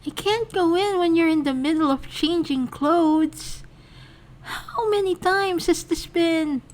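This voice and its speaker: A woman voicing a shy, easily flustered young woman who stammers in a high, startled voice. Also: slow.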